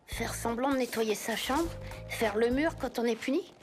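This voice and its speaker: A doubting, worry-prone cartoon schoolboy, performed by a woman. The voice sits very high, with music playing behind. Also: normal speed, close to an everyday voice. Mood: sceptical. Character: sceptical, worrying schoolboy sidekick. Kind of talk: French animated series dubbing.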